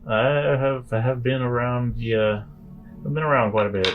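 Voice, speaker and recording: A man's voice: medium pitch, moderate pace, narrow, tinny audio, soft background music. A man playing a tabletop game, asking questions in character in a conversational, tentative way and circling back over his phrases.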